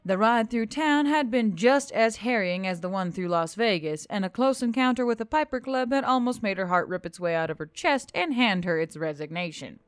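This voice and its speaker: A woman's voice: medium pitch, animated, moderate pace, hardly any pauses, smooth, dry recording, studio quality. A woman narrating a scripted story as host of an audio fiction channel.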